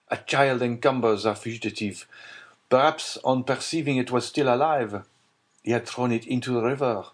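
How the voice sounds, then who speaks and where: A man's voice: medium pitch, natural voice. A man voicing a grim, desperate middle-aged prosecutor who speaks tensely and in confession.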